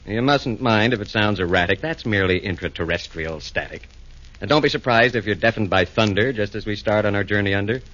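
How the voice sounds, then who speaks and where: Low-voiced male storyteller, sing-song rhymes, 1940s lo-fi broadcast. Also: brisk, fairly bright tone. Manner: theatrical, sing-song rhyming delivery. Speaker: narrator. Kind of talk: rhyming comic radio narration. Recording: dull old radio broadcast.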